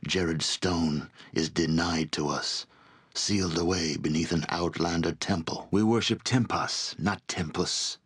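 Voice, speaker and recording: A barbarian chieftain with a very low, weighty voice. He is proud and embittered, delivering formal, deliberate speeches in the grave manner of a fantasy video game leader. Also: breathy edge, heavily acted. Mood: grave, resentful. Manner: solemn, formal, deliberate oratory. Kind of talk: scripted fantasy game voice acting.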